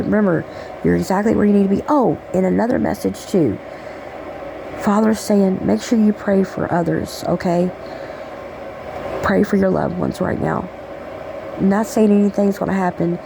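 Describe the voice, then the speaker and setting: A woman with a raspy voice giving a rambling, affectionate spiritual message, checking in with "okay?" as she talks. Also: on the low side, background noise. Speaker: spiritual channeler. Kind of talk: casual spiritual message monologue.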